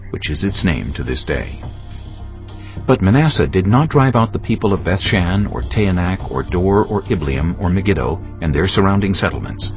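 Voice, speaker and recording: A man narrating scripture from a script in a measured, solemn storytelling manner. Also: low-pitched. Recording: dull lo-fi, music behind. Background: music.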